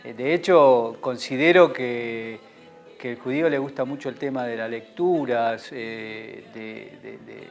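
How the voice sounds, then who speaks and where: A man's voice: mid-range voice, measured pace, vocal fry, faint music behind. A man who talks in an interview with many hesitations and fillers. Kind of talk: casual interview talk.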